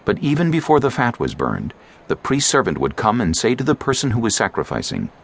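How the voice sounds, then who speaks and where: A man narrating scripture from a script as a measured, expressive storyteller. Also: mid-range voice, gravelly.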